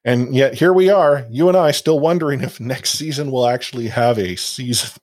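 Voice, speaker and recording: A man's voice: mid-range voice, normal speed, darkish tone, no room sound. A man hosting a casual esports podcast, rambling and self-deprecating with many fillers and restarts. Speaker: podcast host.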